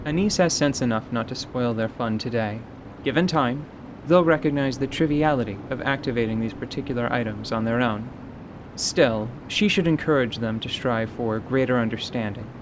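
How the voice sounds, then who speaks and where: Male narrator, average pitch, scripted fantasy storytelling, noisy background ambience. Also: moderate pace.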